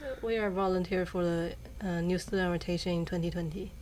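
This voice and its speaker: A woman's voice: fairly low pitch, fairly even intonation, a little mellow, slight background noise. Giggly young woman. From Taiwan. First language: Mandarin Chinese. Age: young adult. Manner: halting, giggly, searching for English words. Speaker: guest, married student. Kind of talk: casual podcast chat.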